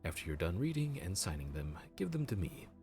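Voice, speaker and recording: A man's voice: sits low, big pitch swings, brisk, a little mellow, faint music behind. A man voicing a helpful, chatty male grim reaper who works the underworld front desk, explaining things politely in an audio roleplay. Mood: courteous.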